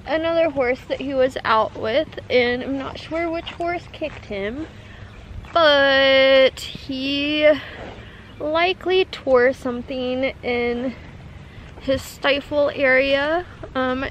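A woman's voice: sits high, slight background noise. A woman, chatting casually to her vlog camera. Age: 20s. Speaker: vlogger. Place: outdoors and in barns at a horse farm. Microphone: handheld camera at arm's length.

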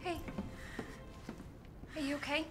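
A woman's voice: high-pitched, fairly even intonation, takes it slowly, over music. An actress playing a young woman, a caring and gutsy survivor, in naturalistic video game acting. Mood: gentle. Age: young adult. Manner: reassuring, earnest.

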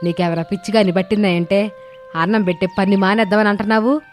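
A woman voicing a bossy, scolding older village mother-in-law in an animated moral story, with colloquial, questioning speech. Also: mid-range voice, fast, faint music behind, natural voice. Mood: scolding, puzzled.

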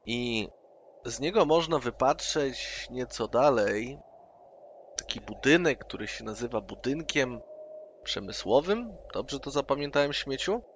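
A man's voice: mid-range voice, fairly bright tone. A man playing his character at an online tabletop session, persuasive and scheming. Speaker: player. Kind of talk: in-character roleplay. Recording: dull online call audio with noise.

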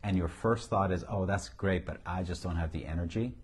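A man's voice: low-pitched, brisk, slightly rough. A middle-aged man with a Syrian accent, a pastor talking casually and emphatically about ministry, recorded on a lapel mic in an office. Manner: emphatic, repeats key phrases, chuckles. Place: indoor office, seated on couch.

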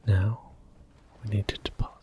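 A man talking softly through a video game in a slow, gentle ASMR whisper. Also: background noise. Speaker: ASMR gaming channel host. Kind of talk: soft-spoken ASMR gameplay commentary.

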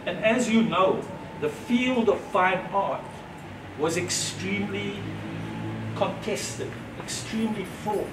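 A man in his sixties giving a reflective, measured speech to an audience at a gallery opening, with pauses between phrases. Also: sits high. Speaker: speaker at an exhibition opening. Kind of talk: unscripted public speech. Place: gallery entrance, indoors facing an audience. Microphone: far camera, no mic seen. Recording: roomy, distant, noisy ambience.

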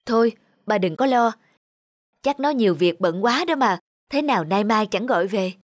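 A woman voicing a kindly, practical village market woman in a cartoon, brisk and solicitous. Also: mid-range voice, expressive, no echo, studio quality, close to an everyday voice. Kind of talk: animated moral tale voice acting.

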